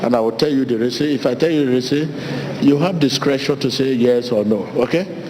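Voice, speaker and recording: A man's voice: mid-range voice, fairly quick. A male preacher addresses his congregation with short, repeated phrases. His voice rings in a large hall, with noticeable noise around it. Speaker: preacher leading prayer. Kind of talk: sermon and call-and-response prayer. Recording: roomy church hall, long echo, noisy.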